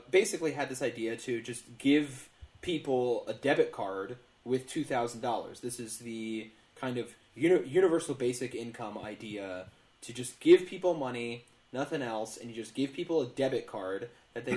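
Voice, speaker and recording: A man co-hosting a comedy news podcast, explaining things casually with pauses in mid-phrase. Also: mid-range voice, a little mellow. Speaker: podcast co-host.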